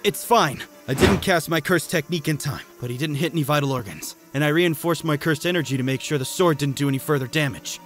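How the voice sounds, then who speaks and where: A man acting a cocky young male sorcerer in an amateur manga dub, emphatic and taunting, quick to size up his foe. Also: fairly high pitch, long reverb tail, over music, close to an everyday voice. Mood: nonchalant.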